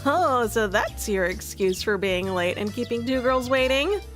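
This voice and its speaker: A woman's voice: a little high, expressive, unhurried, fairly bright tone, soft background music. A woman voicing a brash, confident teenage anime girl who talks in a bossy, teasing way, for a visual novel game.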